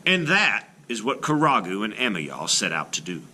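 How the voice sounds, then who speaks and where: A man reading a children's story aloud in an expressive, theatrical style, like a teacher to a class. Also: on the low side, normal speed, a little hiss or hum. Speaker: art teacher reading a picture book aloud.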